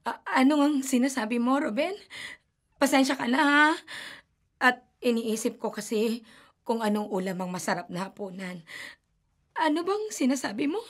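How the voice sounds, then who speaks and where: A woman's voice: fairly high pitch, expressive, normal speed. An actress playing an anxious older grandmother and mother in a radio horror drama, talking with fretful worry. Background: dramatic music.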